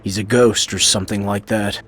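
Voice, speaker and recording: An actor playing a cool-headed fighter in a video game, with a blunt, offhand delivery. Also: male voice, on the low side, steady tone, normal speed, a bit bright, slightly rough. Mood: curious.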